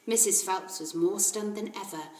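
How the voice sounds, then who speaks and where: A woman, a teacher reading a children's story aloud in an expressive narrating style. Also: medium pitch, normal speed, background noise. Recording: roomy echo.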